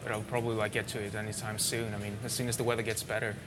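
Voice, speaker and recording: A man's voice: on the low side, flat delivery, rapid-fire. A young man from Sweden, a native Swedish speaker, answering interview questions informally and a little tentatively. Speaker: interview guest. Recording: noisy location with clear ambience.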